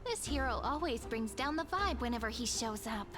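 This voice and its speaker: High female voice, actress as young romantic game heroine, sweet, playful, teasing, music behind. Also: normal speed, close to an everyday voice. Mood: mildly indignant. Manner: cheerful, expressive, gently teasing. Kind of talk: anime-style game voice acting.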